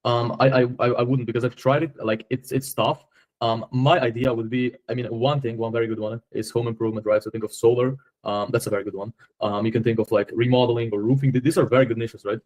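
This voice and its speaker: A man with a Slovenian accent talks through a sales conversation at a very rapid clip. His pitch sits in the middle, and his phrasing is informal, often circling back on itself. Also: steady tone, darkish tone. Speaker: agency owner on a sales call.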